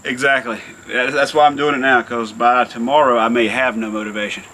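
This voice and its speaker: A man chatting casually while doing hot outdoor yard work, in an easygoing way with some drawn-out words. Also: medium pitch, steady tone, pushed and bright, slight background noise, rough recording. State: hot and working hard. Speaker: vlog host. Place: outdoors in a backyard by a deck.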